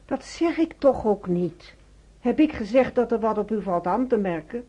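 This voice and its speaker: An actress playing a rambling, opinionated, gossipy woman in a radio play, with a husky voice on a dull old recording. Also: fairly high pitch, expressive, normal speed, dark tone. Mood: defensive, opinionated. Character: gossipy, opinionated chatterbox, mildly defensive. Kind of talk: naturalistic radio play dialogue.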